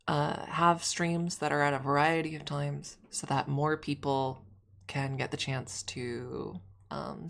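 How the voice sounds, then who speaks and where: A woman's voice: deep voice, gravelly. A young adult woman, a little snuffly, chatting casually on a stream, rambling with frequent restarts.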